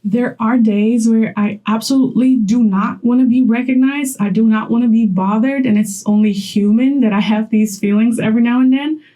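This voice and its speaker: A woman's voice: on the high side, fairly even intonation, normal speed, few pauses, dark tone, low-quality recording. A young woman from Poland talking straight to camera in a chatty vlog, laughing often as she gives advice. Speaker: content creator.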